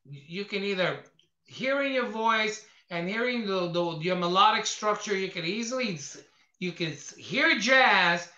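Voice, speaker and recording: Bright-voiced man hosting in an echoey room. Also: sits high, lively intonation, unhurried. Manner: rambling, restarts and trips over words. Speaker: podcast host. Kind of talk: casual interview chat. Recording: roomy, dull lo-fi sound.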